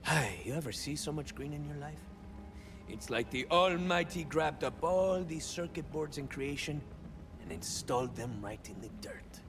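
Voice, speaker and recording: An actor playing a swaggering young cyborg soldier of fortune in a video game, a touched flavor, musing theatrically and landing wry deadpan punchlines. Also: male voice, fairly high pitch, moderate pace, long reverb tail, music in the background. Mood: wondering. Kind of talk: video game character monologue.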